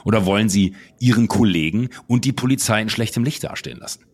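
An actor playing a patronising police superior in an audio drama, formal and quietly threatening, with a deep, husky voice. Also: male voice, fast talker. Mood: patronising, warning. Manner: formal, dismissive, admonishing.

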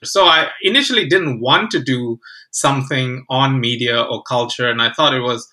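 A man from Malaysia speaks as a podcast guest. His voice is mid-pitched and strikingly bright, with a forward edge. He answers conversationally, feeling his way through phrases in a reflective manner. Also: normal speed. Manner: hesitant, reflective phrasing with frequent "you know".

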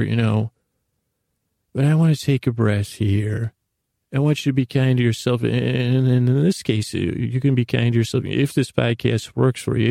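A man hosting a bedtime podcast in a meandering, halting monologue that often trails off, gentle, reassuring and a little silly. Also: mid-range voice, gravelly, no echo, studio quality. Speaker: podcast host. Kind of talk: rambling bedtime monologue to help listeners fall asleep.

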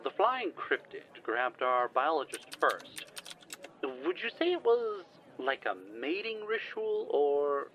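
A man's voice: a little high, big pitch swings, takes it slowly, muffled recording, a little hiss or hum. An actor playing a polite, flustered older male scientist in an audio drama, speaking formally with hesitant, wry phrasing. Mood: flustered, explaining. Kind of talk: audio drama phone-call acting.

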